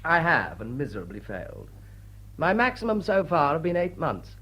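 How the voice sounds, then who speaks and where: Period radio drama: cynical English lord, urbane and teasing, upper-class accent, very varied pitch. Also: male voice, huge pitch swings, moderate pace, sharp tone, husky, full character voice. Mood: dry, world-weary. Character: dissipated, witty, cynical English aristocrat bachelor. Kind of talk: period drawing-room radio drama acting.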